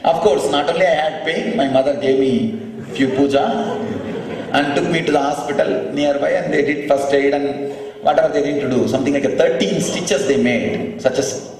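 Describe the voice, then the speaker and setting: A man's voice: on the high side, moderate pace, darkish tone. A man from South India, a native Tamil speaker with a Tamil Indian accent, giving a spiritual discourse as a storytelling guru, repeating phrases for emphasis. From Tamil Nadu, South India. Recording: very echoey hall, distant microphone.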